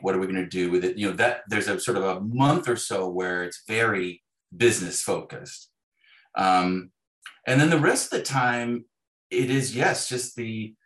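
A man in his fifties speaking as an author being interviewed, in a thoughtful, halting way with repeated words. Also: lowish voice, fairly bright tone. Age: 50s. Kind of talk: reflective conversational interview. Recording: echoey, roomy sound.

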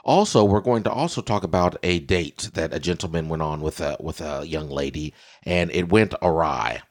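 A man with a raspy voice hosting a casual podcast, telling stories in an animated way and repeating himself for effect. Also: sits low, normal speed. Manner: animated storytelling with repetitions. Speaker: podcast host.

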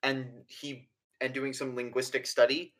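A man narrating as game master of a tabletop game, explaining at length and pausing often on fillers. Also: mid-range voice, level tone, fairly bright tone.

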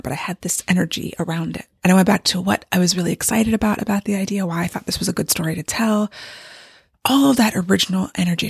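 A woman talking casually about her writing in a candid, rambling way, her voice strained and gravelly from overuse. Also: fairly low pitch, no echo, clean studio sound. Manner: candid and rambling, with light laughs. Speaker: novelist giving a writing update. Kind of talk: casual vlog monologue. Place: home with hardwood floors.

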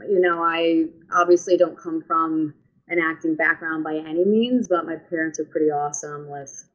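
A woman in her thirties with a heavy New York accent, chatting as an interview guest, often laughing and using fillers. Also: sits low. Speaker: actress guest. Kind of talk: casual interview chat. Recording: muffled lo-fi audio.

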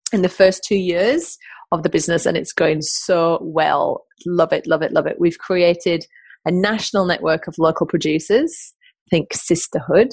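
A woman's voice: on the low side, no echo. A middle-aged woman with an English accent, hosting a podcast in a chatty, enthusiastic monologue. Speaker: podcast host.

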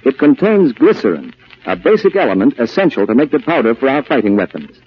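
A man's voice: medium pitch, sing-song, husky. Earnest male announcer, muffled vintage radio. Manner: earnest, emphatic, deliberate. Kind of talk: scripted 1940s radio public-service announcement. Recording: muffled 1940s radio broadcast.

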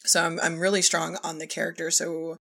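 A woman's voice: on the low side, level tone, brisk, creaky, sharp tone. A woman talking casually on a podcast as a director and writing teacher, plainspoken and emphatic.

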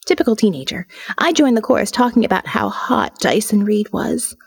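A woman reading an audio novel as a sardonic, restless young woman diarist, wry and confiding, with dramatic pauses. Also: mid-range voice, lively intonation, gravelly, husky. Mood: wry, confessional. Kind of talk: dramatic first-person audio fiction narration.

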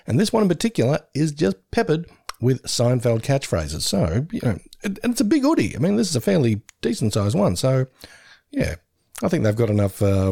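A male podcast co-host with a gravelly, lively voice that jumps around in pitch. He jokes and teases, recorded dry and close. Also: dry recording. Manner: jokey, self-mocking, laughs often. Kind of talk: casual podcast chat.

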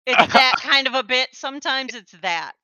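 A woman's voice: high voice, lively intonation, moderate pace, sharp tone, no room sound, dull audio. A woman with an Upper Midwest accent, chatting casually on a podcast, self-deprecating and laughing often. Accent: Upper Midwest American. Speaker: podcast co-host. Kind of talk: casual chat.

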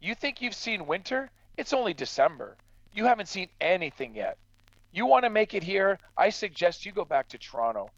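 An actor playing a stern, practical farmer in a radio drama, blunt and admonishing but fair-minded. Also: male voice, sits high, big pitch swings, normal speed, dry recording, lo-fi sound, full character voice. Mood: stern, dismissive. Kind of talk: true crime radio drama acting.

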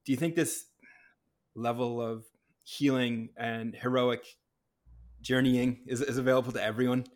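A man hosting an interview, speaking softly and haltingly, often repeating words as he thinks. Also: mid-range voice, steady tone. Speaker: podcast host. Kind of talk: conversational interview.

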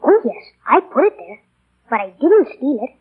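A woman playing a young, excitable schoolboy son in 1940s radio drama, with a wheedling, overly innocent manner. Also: high voice, moderate pace, slightly rough, exaggerated voice. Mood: innocent, pleading. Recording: tinny, narrow 1940 radio broadcast.

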